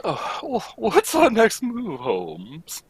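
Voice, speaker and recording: Woman playing a husky, earnest male sidekick. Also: medium pitch, wildly swooping pitch, very slow, heavily acted. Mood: excitable. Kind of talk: old-time radio drama script read live.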